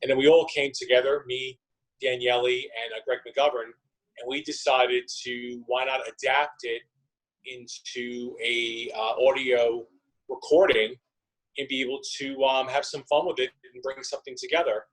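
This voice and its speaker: Male interview guest. Average pitch, conversational, measured and halting explanations. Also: steady tone, poor audio. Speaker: interview guest, business manager. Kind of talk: casual interview chat.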